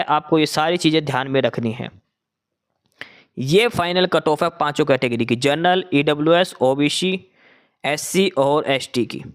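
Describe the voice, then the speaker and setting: A man who hosts an exam-results channel, explaining cutoff lists in a casual, repetitive way, often restarting phrases and switching numbers into English. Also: on the high side.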